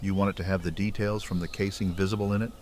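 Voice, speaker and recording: Man acting a low-voiced, folksy blacksmith. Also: little pitch movement, fast, darkish tone, a little hiss or hum, natural voice. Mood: amiable. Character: obliging, laid-back male blacksmith and craftsman. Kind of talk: fan audio drama acting.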